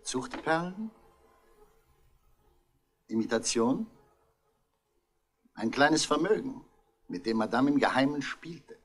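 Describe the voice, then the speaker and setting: A man's voice: mid-range voice, animated, slow pace, long pauses. A man playing a stern, accusing police inspector in a 1960s radio crime drama, giving clipped, authoritative commands. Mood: commanding.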